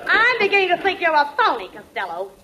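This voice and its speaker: A woman's voice: very high-pitched, very animated, fast talker, pushed and bright, heavily acted. A woman playing a brash, bullying, starstruck girlfriend in an old radio comedy. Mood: bullying, threatening. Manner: brassy, mocking, threatening. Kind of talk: 1940s radio comedy acting. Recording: noisy old radio broadcast, studio audience.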